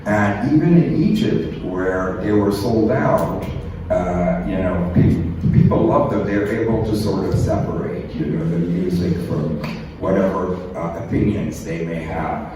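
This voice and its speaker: A man's voice: low voice, unhurried. A man giving an informal, enthusiastic lecture to students, often digressing and putting questions to them. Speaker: university lecturer and radio host. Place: echoey lecture room. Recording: echoey room, distant mic, noisy background.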